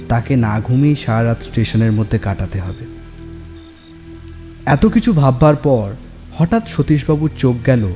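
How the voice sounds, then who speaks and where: A man narrating a scripted horror story in a measured, suspenseful way. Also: mid-range voice, mellow. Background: music and rain.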